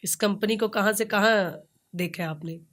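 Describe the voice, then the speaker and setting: A woman's voice: medium pitch, moderate pace, a bit bright. A woman with a Pakistani accent interviewing as a podcast host, attentive and conversational, with brief acknowledgements.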